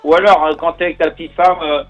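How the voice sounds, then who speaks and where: A man playing a radio game and teasing the host with quick remarks. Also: fairly high pitch, fairly even intonation, highs cut off. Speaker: game player. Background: faint music.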